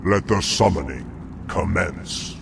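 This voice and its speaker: A man's voice: low voice, takes it slowly, creaky, noisy background, full character voice. A man playing a sinister, smug, scheming demon servant in a video game, with formal, theatrical delivery. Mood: smug, ominous. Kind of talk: video game cinematic acting.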